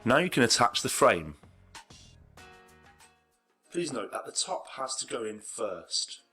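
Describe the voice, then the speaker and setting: A man's voice: mid-range voice, very animated, slow, bright, forceful tone, faint music behind. A man reading scripted narration for an instructional video tutorial.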